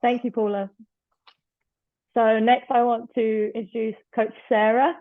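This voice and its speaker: A woman hosting a video meeting, briskly introducing each coach in turn. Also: a little high, little pitch movement, no echo. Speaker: meeting host. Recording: tinny phone-like call audio.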